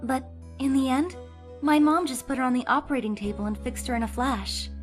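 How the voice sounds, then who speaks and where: A woman's voice: sits high, fairly quick, faint music behind, close to an everyday voice. A woman voicing an earnest, cheerful young female defense lawyer who speaks with energy and sometimes breaks into emotional pleas. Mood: wistful. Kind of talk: fan dub voice acting.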